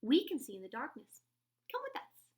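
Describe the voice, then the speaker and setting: Mother bat for a storybook, voiced by a woman: tender, knowing, emphatic, animated pitch. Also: normal speed. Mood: reassuring. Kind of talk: children's storybook read-aloud.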